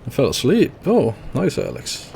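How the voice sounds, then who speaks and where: Gravelly-voiced man streaming a game. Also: a little high, very animated, normal speed, background noise. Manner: rambling, lots of fillers, chuckles at his own deaths. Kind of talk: casual gameplay commentary.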